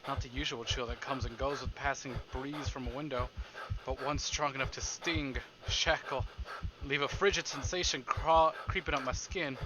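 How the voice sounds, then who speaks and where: A man streaming a visual novel, reading it aloud theatrically and deliberately, with livelier asides. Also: mid-range voice, steady tone, crowd noise, rough recording. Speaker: game streamer. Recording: music behind the voice. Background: game background music.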